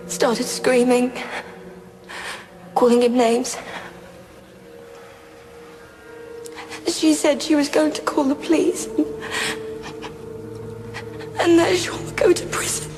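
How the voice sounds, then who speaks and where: Scared teen schoolgirl in court radio play, high voice, hesitant n emotional, music under it. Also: fairly even intonation, a little slow, pauses often. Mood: distressed. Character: frightened, guilt-ridden teenage schoolgirl giving court testimony. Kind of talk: courtroom audio drama acting.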